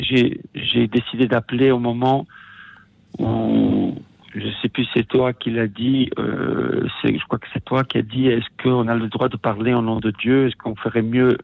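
A man from Italy whose first language is Italian, calling a radio show from home. He talks in a hesitant, halting way and often restarts his sentences. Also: mid-range voice, vocal fry. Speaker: radio caller, musician. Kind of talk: phone-in call to a radio show. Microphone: phone. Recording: tinny phone line.

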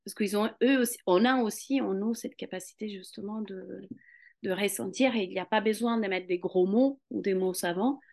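Give me a woman who hesitates and searches for her words, at a medium pitch. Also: moderate pace, gravelly, a little mellow. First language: Italian. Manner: hesitant, many fillers and restarts, searching for words. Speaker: guest, Pilates and Rolfing practitioner. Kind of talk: conversational interview.

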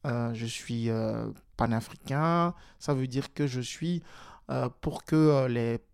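A man's voice: mid-range voice, slow pace, gravelly, a little mellow, smooth. A man from Togo, speaking as an interview guest, hesitant and full of fillers and restarts. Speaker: guest, politician and teacher. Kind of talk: casual interview talk.

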